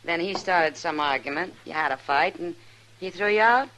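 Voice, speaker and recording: A woman's voice: on the low side, moderate pace, a bit bright, lightly acted. A woman playing a worldly, wry saloon keeper in a radio western, with a knowing, teasing and direct manner. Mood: wry, indignant. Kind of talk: 1950s radio western acting. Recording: noisy old radio recording.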